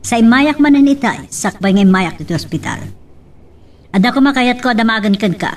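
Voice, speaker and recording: A woman's voice: a little high, expressive, a little fast, far from the mic, close to an everyday voice. A woman with an Ilocano Filipino accent playing a questioning, suspicious daughter in an audio drama. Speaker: actor in an Ilocano radio-style drama. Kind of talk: amateur audio drama acting.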